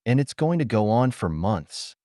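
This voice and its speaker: A man's voice: fairly low pitch, very animated, moderate pace, warm tone, no echo. A man voicing a cool, unflappable young man in an audiobook, nonchalant and dry-witted. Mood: wry, unbothered.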